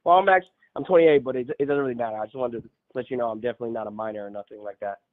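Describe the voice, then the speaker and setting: A man's voice: mid-range voice, very fast, no echo. A man calling in to a live show, chatting casually over a thin call connection. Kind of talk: casual chat. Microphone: phone or online call. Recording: thin phone-like call audio.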